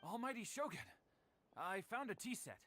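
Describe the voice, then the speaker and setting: A man's voice: high-pitched, sing-song, moderate pace, a bit bright, slight background noise. A man voicing a humble, deferential servant in a video game, formal and obsequious. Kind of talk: video game story dialogue.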